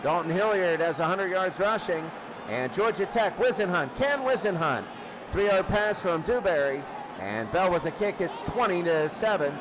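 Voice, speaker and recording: A man calling football play by play in short, clipped, excitable phrases. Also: high-pitched, normal speed. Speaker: play-by-play sports commentator. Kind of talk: live American football commentary. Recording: noisy crowd bed behind commentary.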